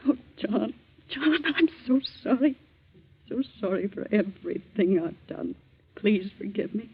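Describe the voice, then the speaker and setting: A woman's voice: mid-range voice, lively intonation, a little slow, slightly rough, exaggerated voice. An actress playing an ailing, remorseful middle-aged mother in a 1940s radio drama, weary and pleading. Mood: sorrowful, pleading. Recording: muffled, scratchy 1940s radio broadcast.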